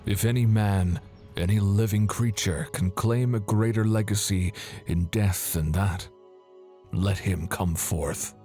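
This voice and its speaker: A man giving solemn, dramatic scripted narration in a grave, measured manner. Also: fairly low pitch, warm tone, faint music behind.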